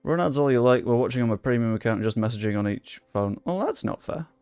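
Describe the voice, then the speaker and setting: Brief: young guy, gravelly, dry close mic, casual gaming commentary with faint music under it. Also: medium pitch, lively intonation, quick pace, darkish tone, no room sound. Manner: chatty, swears under his breath, talks to viewers and chat. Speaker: gaming YouTuber. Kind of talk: casual live commentary over a football management game.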